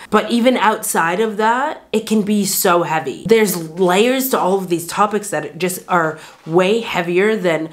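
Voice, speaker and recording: A reflective American woman in her thirties speaks straight to the camera from a bright little bedroom. Her voice sits a touch low, and she talks in an earnest, searching way, circling back with "like" and restarting her sentences. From United States. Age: 30s. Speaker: vlogger. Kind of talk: candid talk to camera. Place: bright small bedroom indoors. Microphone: camera framed chest-up at desk distance.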